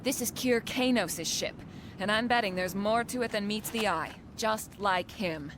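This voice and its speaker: A woman's voice: medium pitch, measured pace, close to an everyday voice. A woman playing a bold, shrewd rebel commander in a space opera audio drama, wry and decisive, with engine noise behind her.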